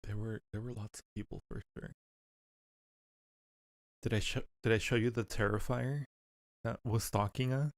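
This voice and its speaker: Casual male game streamer, slightly creaky voice. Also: on the low side, steady tone, moderate pace, pauses often, dry recording. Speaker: live streamer and host. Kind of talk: casual live chat while gaming. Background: game music.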